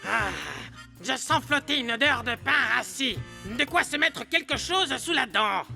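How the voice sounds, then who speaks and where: Cartoon dub: bossy little animal leader, extremely shrill and bright, impatient and sarcastic. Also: male voice, a little slow, faint music behind, full character voice. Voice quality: shrill, gravelly.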